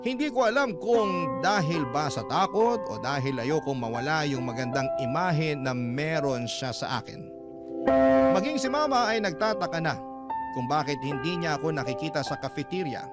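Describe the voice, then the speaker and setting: Man narrating a heartfelt story over loud music. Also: fairly high pitch, expressive, normal speed. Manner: earnest, emotional storytelling delivery. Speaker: radio host reading a listener's letter. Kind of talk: dramatic first-person storytelling narration. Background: heavy background music.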